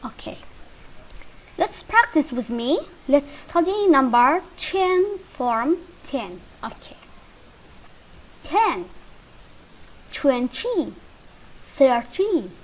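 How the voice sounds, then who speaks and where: Slow, lively woman teacher. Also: sits high, low-quality recording. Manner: slow, drawn-out drilling with repetition. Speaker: English teacher. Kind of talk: slow beginner English lesson.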